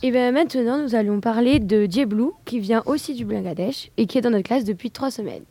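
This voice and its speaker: A woman's voice: on the high side, quick pace, warm tone, no echo. A woman giving a prepared introduction as presenter.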